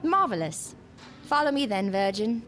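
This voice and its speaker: A woman's voice: mid-range voice, sing-song, normal speed, a bit bright. A woman playing an eccentric, imperious doctor in a gothic audio drama, with theatrical, archaic and knowing delivery. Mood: commanding, intrigued. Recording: music under the voice.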